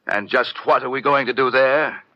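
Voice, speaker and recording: A man's voice: medium pitch, moderate pace, close to an everyday voice. A man playing an indignant, blustering well-to-do in an old radio drama. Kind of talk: 1940s-50s radio drama acting. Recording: muffled old radio recording.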